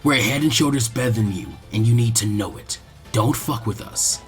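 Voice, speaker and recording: A man's voice: medium pitch, moderate pace, soft background music, voice pushed down, lightly acted. A man voicing a brash, cocky ninja boy with a taunting, tough manner in a story reading. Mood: threatening.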